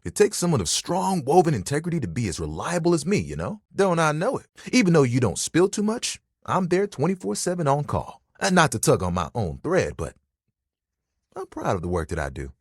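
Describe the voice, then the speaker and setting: Bashful talking towel with very lively intonation. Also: male voice, a little high, slightly rough, dry recording, studio quality, heavily acted. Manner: rambling, self-conscious, casual, punning. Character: talking bath towel, bashful, eager, laid-back young guy. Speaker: video game voice actor. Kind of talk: dating game character dialogue.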